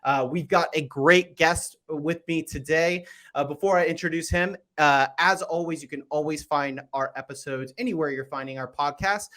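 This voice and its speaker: A man's voice: fairly high pitch, fairly bright tone. A man hosting a podcast, upbeat and chatty, introducing a guest and asking questions with plenty of uhs and restarts. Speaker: podcast host.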